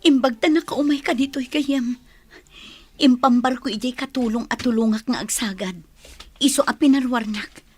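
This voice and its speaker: A woman playing a distressed, furtive young wife in a radio drama, with a husky, breathy voice. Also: a little high, normal speed, faint music behind. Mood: furtive, grateful. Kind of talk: Ilocano radio comedy drama acting.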